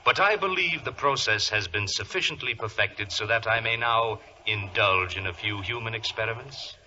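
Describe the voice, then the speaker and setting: An actor playing a suave, gloating criminal mastermind with mock-courteous menace, in the theatrical style of 1940s radio drama. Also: male voice, lowish voice, normal speed, sharp tone. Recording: noisy, narrow old radio recording.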